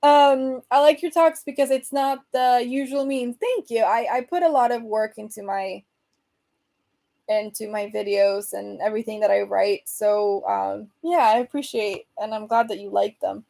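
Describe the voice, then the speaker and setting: A woman's voice: a little high, normal speed, gravelly, dark tone. A young woman from Venezuela with a Venezuelan accent, casually chatting on a livestream and reading viewer comments aloud with lots of fillers. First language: Spanish. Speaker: host.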